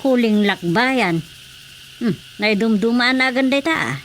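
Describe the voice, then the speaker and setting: Higher-pitched old Ilocano village woman. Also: measured pace, a bit bright, slight background noise. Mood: curious, skeptical. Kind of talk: Ilocano radio drama comedy acting.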